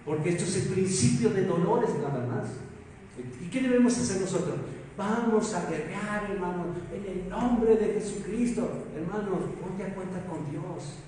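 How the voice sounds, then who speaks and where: Mexican pastor preaching in an echoey hall. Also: male voice, high voice, normal speed, slight background noise. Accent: Mexican Spanish. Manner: rhetorical questions, emphatic swings between loud and soft. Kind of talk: sermon. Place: church hall. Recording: echoey hall, mic far off.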